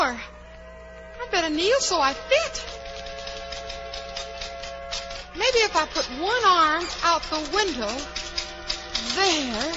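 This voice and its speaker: A woman's voice: very high-pitched, sharp tone, full character voice. A woman playing a curious, chatty, plucky young girl who wonders aloud in a 1940s radio drama. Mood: anxious, plucky. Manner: wondering aloud, exclaiming, polite. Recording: dull, hissy 1940s radio recording.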